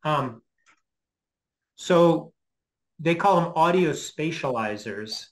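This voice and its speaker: Man in his fifties, lecturer, tentative and halting, thinking aloud, informal explanation, video call from a home office. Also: a little high, lively intonation, poor audio. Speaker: guest lecturer. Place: small home office, indoors. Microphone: computer mic on a video call.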